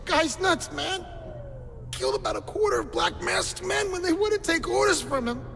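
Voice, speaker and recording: A man's voice: very high voice, expressive, normal speed, creaky, a bit bright, full character voice. A man playing a scared but defiant criminal henchman in a video game, slangy and strained, with reverb. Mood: panicked. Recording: long reverb, faint music.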